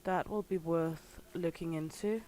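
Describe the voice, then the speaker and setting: Slow-talking hoarse woman streamer. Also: lowish voice, steady tone, rough recording. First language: Danish. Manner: unhurried, chatty, greets viewers warmly by name. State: slightly hoarse. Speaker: gaming streamer. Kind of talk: casual livestream commentary while playing.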